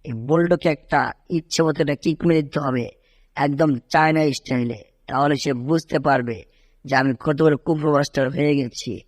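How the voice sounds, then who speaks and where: An excitable, boastful tomcat from a dubbed cartoon, voiced by a man. He whines and exclaims like a would-be kung fu master, recorded close and dry. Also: fairly high pitch, normal speed, no echo, lightly acted. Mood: indignant, boastful. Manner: animated, whining, exclaiming, shouting kung fu cries. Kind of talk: amateur cartoon dub in Bengali.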